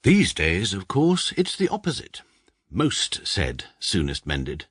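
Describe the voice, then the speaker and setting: A dry, pedantic young barrister narrating his own story in a comic radio play. His voice is very low and a little husky, and his deadpan, precise delivery moves across a wide pitch range. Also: slow, heavily acted. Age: young adult. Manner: deadpan, precise, self-mocking.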